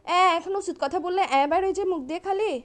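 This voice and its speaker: A woman's voice: extremely high pitch, rapid-fire. A young woman with a Chittagonian Bangladeshi accent playing an angry, nagging girlfriend in a comic phone argument, scolding and threatening over and over.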